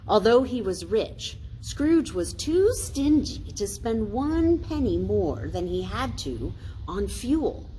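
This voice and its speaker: An American woman, a pastor, reading a children's story aloud in a deliberate, explanatory way. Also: fairly low pitch, warm tone, low-quality recording. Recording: faint noise, ambient sound.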